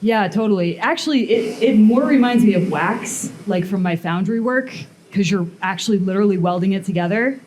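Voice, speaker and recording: A woman's voice: medium pitch, lively intonation, a little fast, hardly any pauses, darkish tone, slight background noise, low-quality recording. A woman blacksmith and sculptor giving a live workshop demonstration to an audience, talking casually and thinking aloud with fillers and restarts. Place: metal workshop at a smithing event.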